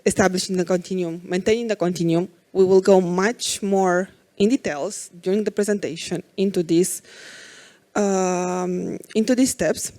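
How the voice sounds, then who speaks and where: A woman's voice: mid-range voice, a little mellow. A woman in her forties from Romania giving a conference talk as an IT architect, hesitant with many fillers.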